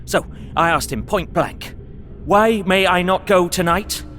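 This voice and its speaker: A man's voice: high voice, a little slow, a bit bright, slight background noise. An actor playing a fearful, earnest young Englishman, narrating his journal in a dramatic audio drama. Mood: urgent pleading.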